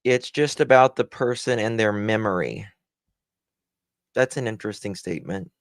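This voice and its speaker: British guy doing wry quick commentary, dry close mic sound. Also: lowish voice, no room sound. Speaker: commentary channel host. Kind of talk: commentary on a played recording.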